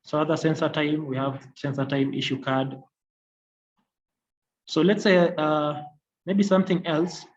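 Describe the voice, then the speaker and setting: Higher-voiced man, halting tutorial, dull audio. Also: fairly even intonation. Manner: halting, repetitive explaining. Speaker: technical trainer. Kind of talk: software demonstration tutorial. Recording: dull lo-fi audio, faint noise.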